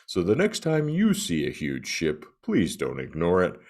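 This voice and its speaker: Huge ship character, played by a man: very low, wounded, melodramatic, widely swinging delivery, earnest plea for acceptance. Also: huge pitch swings, measured pace, darkish tone, heavily acted. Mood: pleading, hopeful. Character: aggrieved, self-pitying huge ship pleading for acceptance. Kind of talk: mock-serious dramatic reading.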